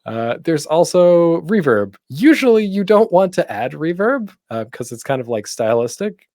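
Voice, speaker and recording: A man's voice: fairly high pitch, sing-song, normal speed, darkish tone, no echo, clean studio sound. A man presenting a tutorial in a casual, chatty way with light humor. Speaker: panel host giving a podcasting tutorial.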